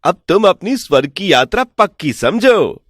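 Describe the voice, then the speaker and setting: A man voicing a sly, smooth-talking village swindler in an animated folk tale, persuasive, boastful and falsely respectful. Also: high voice, moderate pace, dry recording, clean studio sound, full character voice.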